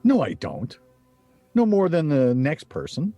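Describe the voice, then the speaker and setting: An actor playing a competitive, irritable husband in a radio play, with a testy, literal-minded manner. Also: male voice, fairly high pitch, wildly swooping pitch, unhurried, dark tone, faint music behind, heavily acted. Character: competitive, irritable husband who plays to win.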